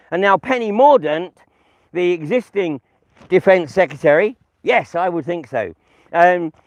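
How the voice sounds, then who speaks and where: British man, higher-pitched, slightly muffled outdoors. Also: no room sound. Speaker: vlogger and political commentator. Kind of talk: informal political monologue to camera. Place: outdoors in a farm field by a public footpath.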